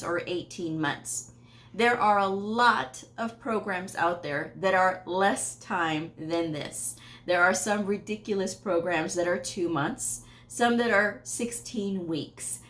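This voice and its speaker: A woman's voice: lowish voice, fairly bright tone. A woman in her thirties, a medical coding educator, giving firm, emphatic career advice straight to camera from a small home office. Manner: firm, emphatic, repeats points with occasional laughs.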